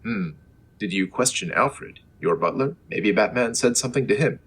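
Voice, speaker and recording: A man playing an earnest, matter-of-fact superhero disguised as a mild-mannered reporter in an old-time radio comedy, with a husky voice. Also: lowish voice, expressive, normal speed, a bit bright. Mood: earnest, concerned. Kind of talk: old-time radio serial parody acting.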